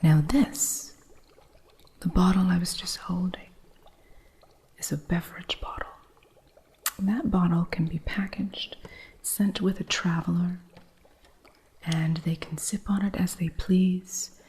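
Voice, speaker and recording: A woman's voice: on the low side, steady tone, takes it slowly, pauses often, breathy edge, exaggerated voice. Woman whispering as a wry witch shopkeeper. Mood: hushed. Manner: soft unvoiced whisper, dry humor. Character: wry female witch shopkeeper teaching an apprentice. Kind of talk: ASMR roleplay.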